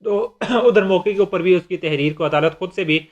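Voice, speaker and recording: Pakistani man lecturing, higher-pitched, muffled recording. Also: normal speed. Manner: conversational, repeats and rephrases points. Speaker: law lecturer. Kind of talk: explanatory lecture in simple terms with examples. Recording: dull, lo-fi microphone sound.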